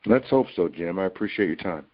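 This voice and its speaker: A man's voice: sits low, expressive, quick pace. A man calling in by phone to discuss current affairs in a conversational way. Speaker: caller. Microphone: telephone. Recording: muffled, dry phone line.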